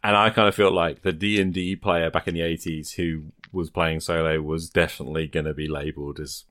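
A man's voice: very deep voice, level tone, a little fast, fairly bright tone, dry recording, studio quality. A man hosting a podcast in casual chat, rambling encouragingly as he thinks aloud. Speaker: podcast host.

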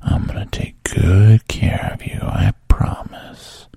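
A man voicing a soft-spoken, coaxing adult man in a breathy whisper. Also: slow pace, no echo, natural voice. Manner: hushed, coaxing, soothing.

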